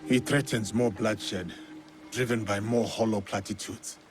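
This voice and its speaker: A man's voice: fairly low pitch, fairly even intonation, a little slow, faint music behind, close to an everyday voice. A man playing a stern, watchful warrior in a video game, with a terse and resolute delivery. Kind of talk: dramatic video game cutscene acting.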